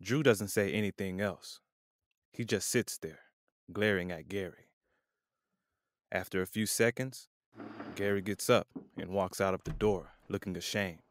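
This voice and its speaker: Guy narrating a radio play script, fairly deep, close dry sound. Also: measured pace, pauses often, dry recording. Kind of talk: scripted audio drama narration.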